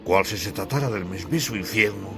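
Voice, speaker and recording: A man's voice: fairly low pitch, fairly quick, creaky, background noise. A man from Bolivia narrating his own literary audiobook in a dramatic, theatrical storytelling style. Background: music.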